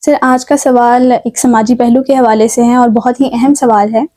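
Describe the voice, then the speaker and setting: A woman with a Pakistani accent hosts a formal interview. Her voice is high in pitch yet soft and mellow in tone. She addresses her guest politely and with deference. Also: steady tone. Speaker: talk show host.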